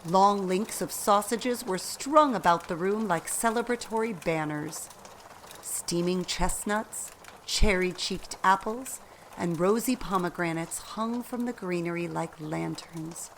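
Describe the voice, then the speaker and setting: Low-voiced woman narrating slowly. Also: slight background noise, poor audio. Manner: expressive storytelling, deliberate. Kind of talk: scripted radio play narration.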